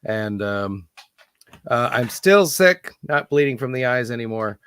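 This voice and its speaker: A man hosting a live online chat, hoarse from losing his voice and talking in a rambling, halting way. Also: medium pitch, animated, a bit bright. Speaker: host. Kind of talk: casual online hangout chat. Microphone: computer mic on a live video call.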